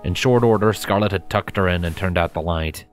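Low-voiced man narrating a story. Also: fairly quick, soft background music.

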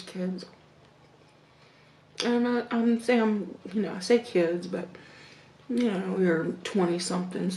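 Slow-talking Southern woman, echoey room. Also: medium pitch, a little hiss or hum. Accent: Southern American. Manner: slow, reflective, with hums and soft laughs between bites. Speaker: mukbang vlogger. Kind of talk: casual storytelling while eating. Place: home dining room, indoors.

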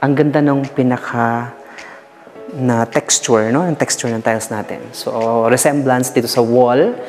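A man's voice: medium pitch, steady tone, normal speed, a little mellow, faint music behind. A man with a Filipino accent, hosting a walk-through real estate tour and mixing English with Tagalog in a salesy way. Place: house and yard, in and out of doors.

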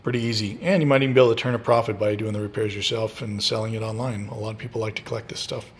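A man's voice: lowish voice, fairly even intonation, rapid-fire, a little hiss or hum. Casual man explaining a repair. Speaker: host of a DIY repair channel.

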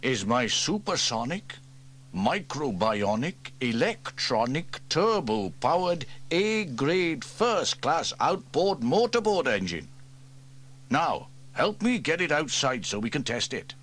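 A man's voice: a little high, sing-song, slow, a bit bright, voice pushed up, heavily acted. A man voicing a proud, self-important fireman inventor in a storybook reading.

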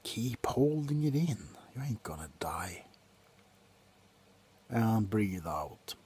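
A middle-aged man playing a grumpy, drunk doctor in an ASMR roleplay, grumbling sardonically close to the mic between soft talk and whispers. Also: fairly low pitch, sing-song, slow pace, slightly rough, heavily acted. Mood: grumbling, sardonic. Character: grumpy, drunk male doctor on a Christmas house call. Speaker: ASMR performer. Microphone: very close to the face.

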